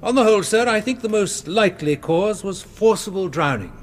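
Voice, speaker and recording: A post-mortem doctor in a period radio play. He testifies formally and precisely, in a measured manner and a slightly high voice. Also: expressive, slight background noise. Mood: cautious. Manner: careful, precise. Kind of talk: period courtroom radio drama.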